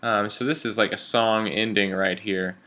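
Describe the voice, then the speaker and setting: Bright-voiced man giving a software tutorial. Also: fairly low pitch, steady tone, normal speed. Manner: informal, instructional. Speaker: radio instructor. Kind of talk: software tutorial narration, step-by-step explaining.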